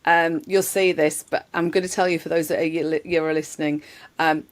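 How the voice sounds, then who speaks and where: A woman's voice: sits low, flat delivery, normal speed. A woman hosting a podcast, chatty and laughing easily, talking with a remote guest. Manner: chatty, laughs often, says "love". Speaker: podcast host. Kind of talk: casual interview chat.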